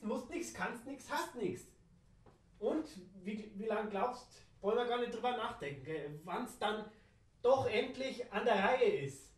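A woman with a southern German or Austrian accent performing an improvised, absurdist spoken monologue with playful, rambling repetition. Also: fairly high pitch. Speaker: radio play performer. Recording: roomy echo, distant microphone.

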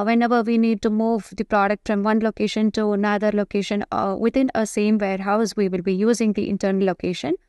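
A woman giving explanatory software tutorial narration, speaking in a halting, semi-improvised way. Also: mid-range voice, clean tone, dry recording, studio quality. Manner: explanatory, halting delivery with frequent hesitations.

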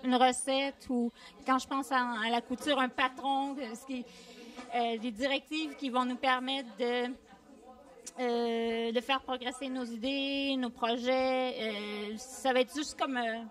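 A woman with a fairly high voice thinks aloud, with many pauses and fillers. The handheld mic sits a little away from her. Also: flat delivery, a bit bright, recorded from a distance. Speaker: vox pop participant. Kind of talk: casual street interview. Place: community workshop space indoors.

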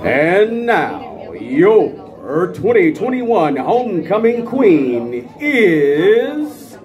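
High-pitched, animated American male PA announcer. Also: very slow. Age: middle-aged. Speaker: high school football PA announcer. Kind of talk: live stadium PA announcing. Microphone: handheld dynamic microphone. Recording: crowd noise behind outdoor announcing. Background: crowd.

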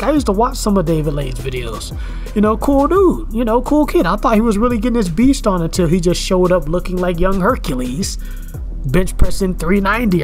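A man in his thirties rants into a camera at home in a loose, repetitive way, circling back to the same phrases. His voice sits high for a man, and faint music plays quietly underneath. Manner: ranting, repeats words and "you know what I'm saying". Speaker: fitness vlogger. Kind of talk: casual commentary rant. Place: indoors at home, in front of a camera.

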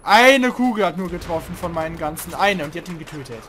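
High-voiced young man grumbling over gameplay. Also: animated, quick pace, a little hiss or hum. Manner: grumbling, swearing, complains loudly about teammates. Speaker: let's play host. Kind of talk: casual gaming commentary.

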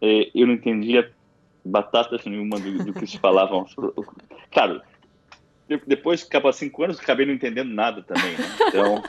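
A man's voice: lowish voice, brisk, husky, poor audio. A man with a Brazilian Portuguese accent, an interview guest talking casually, rambling with restarts and fillers. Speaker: interview guest, university alumnus.

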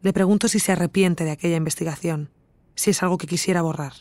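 An actress playing an introspective podcast investigator with an accent from Spain, narrating in a measured, reflective first person for an audio drama. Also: female voice, on the low side, steady tone, a little mellow, no echo, natural voice. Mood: concerned, matter-of-fact.

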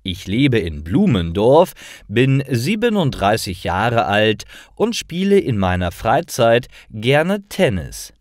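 A man's voice: mid-range voice, big pitch swings, slow, few pauses, dry recording, clean studio sound. A man voicing an orderly, instructive female schoolteacher in her thirties from a storybook. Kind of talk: storybook character reading.

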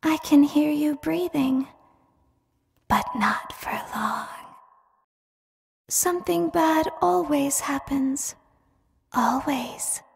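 Slow, echoing, sinister clown animatronic girl. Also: high-pitched, creaky, heavily acted. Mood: softly menacing. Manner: slow, hushed, menacing. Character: menacing female clown animatronic, softly sinister, broken. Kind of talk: video game character voice lines. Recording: long hollow reverb.

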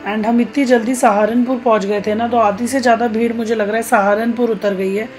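A woman's voice: on the high side, steady tone, fairly quick, a little mellow, soft background music. A woman in her thirties from Uttarakhand, India, narrating a casual vlog in a chatty way, talking directly to viewers. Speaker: travel vlogger.

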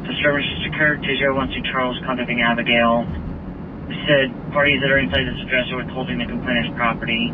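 A man dispatching police calls over a two-way radio, reporting details in a procedural way. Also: mid-range voice. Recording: tinny police scanner, clear background noise.

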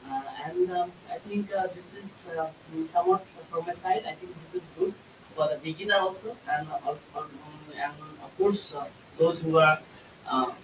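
A man from Bangladesh with a Bangladeshi accent, a course participant giving halting impromptu feedback and often rephrasing. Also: high voice, lively intonation. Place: room with other participants. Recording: echoey room, far mic, faint hiss.

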